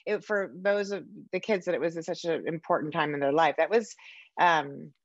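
A middle-aged woman hosting a conversational interview over a video call, halting as she searches for her words. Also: sits low, dry recording. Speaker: interviewer, podcast host. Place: home room, on a video call. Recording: slightly dull video-call audio.

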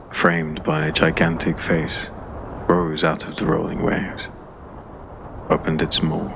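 A man narrating a scripted dark fantasy story as an audiobook narrator. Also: extremely low pitch. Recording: clear background ambience noise.

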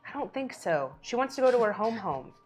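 A woman's voice: on the high side, big pitch swings, brisk, soft background music. A woman in her twenties playing a tabletop game, talking in a playful, emphatic way. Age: 20s. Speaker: player. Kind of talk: tabletop roleplay.

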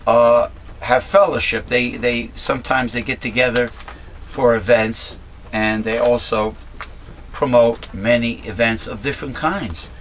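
A middle-aged man with a raspy voice, talking casually to a webcam from a small cluttered room at home, rambling with many fillers and restarts as he airs blunt opinions. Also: medium pitch, fairly even intonation, unhurried, fairly bright tone, slight background noise, rough recording. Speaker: host of a political commentary channel. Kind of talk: casual monologue, talking to camera.

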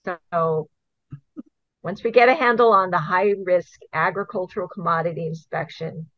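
A woman's voice: fairly low pitch, normal speed, dry recording. A middle-aged woman from Hawaii, a program manager being interviewed, explaining things earnestly and step by step with the odd laugh. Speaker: invasive species program manager, guest. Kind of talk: casual interview answers. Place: home video call. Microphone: video call. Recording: dull, dry video call audio.

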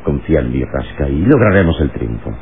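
An actor playing a noble, commanding hero in a solemn, declamatory radio drama style. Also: male voice, extremely low pitch, very animated, a little fast. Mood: resolute, confident. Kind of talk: Mexican radionovela acting. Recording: tinny old radio recording with hiss.

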